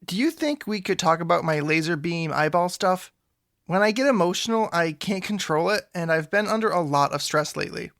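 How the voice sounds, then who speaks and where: Awkward anxious young guy, audio drama, gravelly creak, dry studio sound, sheepish, deadpan joker. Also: high voice, fairly quick, dry recording. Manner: sheepish, rambling, deadpan jokes. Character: anxious, awkward, joking young man seeking help. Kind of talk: audio drama dialogue, conversational.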